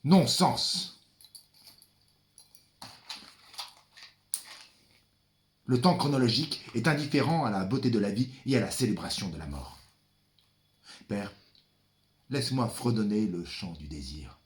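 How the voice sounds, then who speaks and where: A man performing a theatrical monologue as a brooding son, the ferryman of the dead, addressing his father in a deliberate, dramatic, incantatory manner. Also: on the low side, lively intonation, lightly acted. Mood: defiant. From France. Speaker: stage performer.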